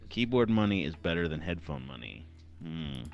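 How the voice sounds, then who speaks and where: A young man with a deep radio-style voice chatting casually on a live stream while he narrates his design work. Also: very animated, moderate pace, highs cut off. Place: small room at home. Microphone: headset or desk mic at a computer.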